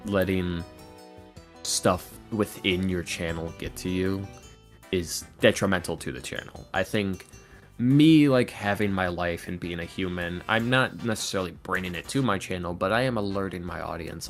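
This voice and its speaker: A man's voice: sits low, a little slow, faint music behind. A man talking casually and earnestly as a podcast guest, in an informal way. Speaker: podcast guest, ASMR roleplay creator. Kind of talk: casual interview chat.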